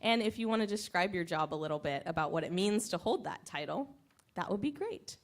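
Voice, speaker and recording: A woman's voice: fairly low pitch, animated, a little fast. A woman hosting a live panel before an audience, introducing it in an informal, welcoming way. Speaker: panel moderator, community radio host. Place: live event at a playhouse, on a live stream.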